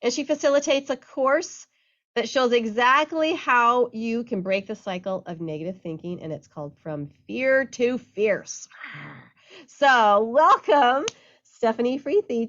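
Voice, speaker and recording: A woman's voice: a little high, animated, moderate pace, a bit bright. Upbeat woman co-hosting radio, lo-fi. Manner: enthusiastic, upbeat. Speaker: radio show co-host. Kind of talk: casual chat and guest introduction.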